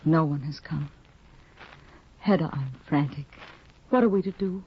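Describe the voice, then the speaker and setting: An actress playing a timid, anxious young woman in a 1950s radio drama, with a breathy, pleading, nervous delivery. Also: sits low, measured pace, full character voice. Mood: frantic. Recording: dull lo-fi 1950 radio recording.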